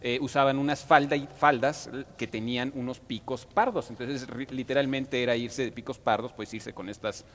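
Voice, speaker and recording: A man's voice: mid-range voice, steady tone, moderate pace, few pauses, fairly bright tone. A Mexican man co-hosting a casual live show, chatting playfully with some hesitant phrasing. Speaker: co-host.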